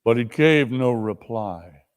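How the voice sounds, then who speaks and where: A man narrates as a dramatic reader. His mid-pitched voice moves slowly, setting out each phrase with measured emphasis. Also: smooth.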